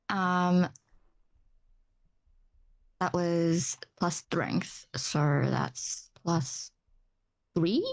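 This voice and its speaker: A woman's voice: on the low side, darkish tone. A woman chatting casually as a player in a tabletop role-playing game, hesitant and thinking aloud.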